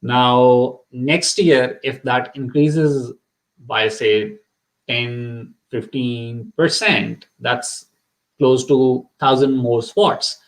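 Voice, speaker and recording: Man, Urdu-native, middle-aged, earnest advice, many ums and repeats, mid pitch. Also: very slow, poor audio. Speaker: immigration consultant. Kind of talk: live advice session.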